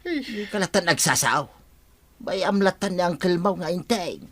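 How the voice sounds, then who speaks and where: Impatient village fellow, bossy, highish animated voice, Filipino Ilocano accent, radio drama, music behind. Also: male voice, normal speed, crowd noise.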